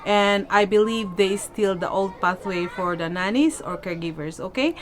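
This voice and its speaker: A woman's voice: medium pitch, moderate pace. A woman with a Filipino accent chatting casually as a vlogger in non-native English, emphatic and repetitive, often checking in with "okay?". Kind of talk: casual question-and-answer vlog chat. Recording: noticeable background noise.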